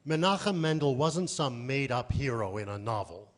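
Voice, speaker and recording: A man's voice: medium pitch, animated, normal speed. A man performing a scripted literary reading on stage at a live event.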